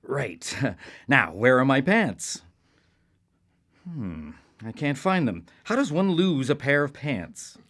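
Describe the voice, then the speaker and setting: A man's voice: medium pitch, sing-song, takes it slowly, a bit bright, exaggerated voice. A man playing a hapless, self-important savior of souls in a radio play, talking to himself in a grandiose, theatrical way. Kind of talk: live radio play acting.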